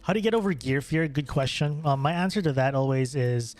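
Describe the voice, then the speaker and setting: A young man from the Philippines talks to his stream chat in a mid-pitched voice, looping back and restarting his sentences as he answers questions. Faint music plays underneath him. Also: expressive, brisk, a little mellow. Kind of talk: casual gameplay stream chat.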